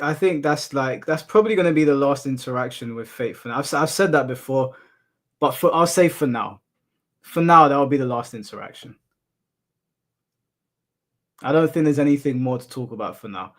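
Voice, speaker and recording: A man's voice: mid-range voice, fairly quick. Laid-back man chatting to a stream. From United Kingdom. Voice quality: monotone voice. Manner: relaxed, laughs off insults, addresses chat as bro. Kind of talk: casual livestream chat reading chat. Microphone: headphones in, desk mic.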